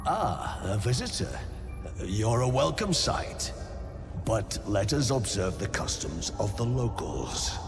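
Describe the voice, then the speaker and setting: A man's voice: lowish voice, wildly swooping pitch, slow, heavily acted. A man voicing a courteous, earnest scholar creature in a fantasy game, speaking formally and eloquently. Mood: welcoming, courteous. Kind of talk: video game voice acting. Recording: long reverb, music behind.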